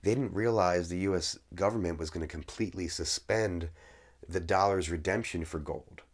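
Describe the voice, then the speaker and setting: A man with a very low, deep voice, worn hoarse by a cold, delivers an opinionated monologue straight to his viewers. He sounds indignant and certain, firing off sharp judgments, then stumbling and restarting mid-phrase before pressing on. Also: moderate pace. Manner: indignant, opinionated ranting with frequent self-restarts. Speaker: video creator. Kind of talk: casual monologue on economic history.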